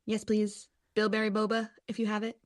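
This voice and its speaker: A woman's voice: medium pitch, completely flat delivery, a little fast, dry recording, clean studio sound, close to an everyday voice. Exasperated, sarcastic young woman played by an actress. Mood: polite, dutiful. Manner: sarcastic, grumbling. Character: grudging, exasperated young woman, dutiful daughter and mechanic. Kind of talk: audio drama acting and narration. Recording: music under the voice.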